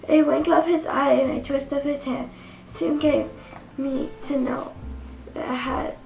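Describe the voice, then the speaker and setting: A woman giving a dramatic recital of a poem, reading in a halting, deliberate way. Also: high voice, fairly even intonation, vocal fry, darkish tone, echoey room, rough recording. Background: jazzy Christmas music.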